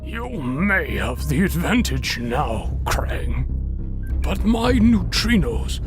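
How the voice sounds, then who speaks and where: Brief: male actor as defiant captured monarch, higher-pitched, dramatic swings, music behind. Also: very animated, takes it slowly. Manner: declamatory, strained pride. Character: defiant captive alien king, proud and noble. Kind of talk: audio comic dramatic acting.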